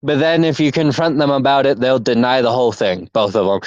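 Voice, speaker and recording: A man's voice: medium pitch, steady tone, a little fast, darkish tone, dry recording. A young man in casual chat as podcast co-host, rambling with restarts and laughter. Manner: rambling, laughing, self-interrupting. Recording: dull lo-fi mic.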